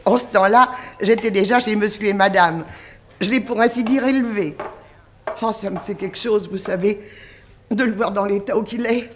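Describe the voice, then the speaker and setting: An actress playing a devoted old French housekeeper, folksy, chatty and sentimental, in a 1960s radio serial. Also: female voice, medium pitch, steady tone, normal speed, a little hiss or hum, natural voice. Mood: distressed, tender. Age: older. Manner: folksy, garrulous working-class servant speech.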